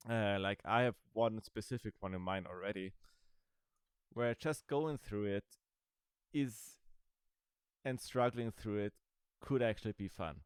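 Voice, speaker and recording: A man's voice: fairly low pitch, slow, pauses often, clear, resonant tone, no room sound. A man giving casual, rambling commentary over a game he is playing, with dry, sarcastic asides. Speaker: gaming video creator.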